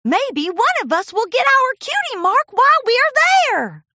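A woman's voice: extremely high pitch, huge pitch swings, unhurried, bright, forceful tone, no room sound, studio quality, pitched above the performer's own voice, heavily acted. A woman voicing an eager little farm filly with a cowgirl accent in a storybook reading. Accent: country cowgirl.

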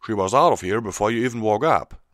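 A man's voice: fairly low pitch, lively intonation, quick pace, fairly bright tone, no echo, studio quality, pitched above the performer's own voice. A man voicing a plain-spoken, blunt cartoon farm pony mare. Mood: matter-of-fact. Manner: folksy, blunt.

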